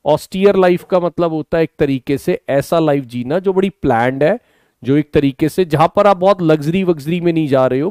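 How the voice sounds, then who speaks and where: A man with an Indian accent, slightly hoarse from a sore throat, patiently explaining English vocabulary in an online class, mixing Hindi and English and urging students to answer. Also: fairly high pitch, darkish tone, dry recording, studio quality. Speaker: English teacher.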